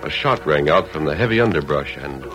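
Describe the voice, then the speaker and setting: A man with a deep American voice narrates a vintage radio serial over prominent music. His delivery is formal and dramatic. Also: animated, fairly quick, poor audio. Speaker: announcer.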